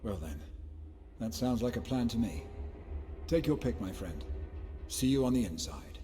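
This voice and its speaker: A man's voice: mid-range voice, expressive, mellow, close to an everyday voice. A man acting commanding bounty hunter, swooping intonation. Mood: terse, businesslike. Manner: curt, threatening, deliberate. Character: commanding, menacing male bounty hunter and gang-breaker. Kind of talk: video game cutscene acting. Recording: reverberant hall with music behind.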